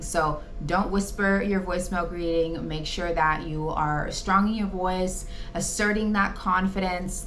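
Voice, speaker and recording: A woman's voice: lowish voice, fairly bright tone, a little hiss or hum. A woman presenting an informal training talk, advising in an emphatic, conversational way. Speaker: presenter giving training tips to insurance agents.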